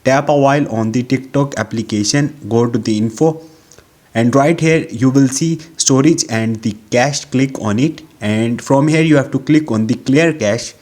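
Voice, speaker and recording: A man's voice: mid-range voice, moderate pace, darkish tone. A man hosting a how-to tutorial, giving instructions step by step in a narrating style. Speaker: tutorial channel host.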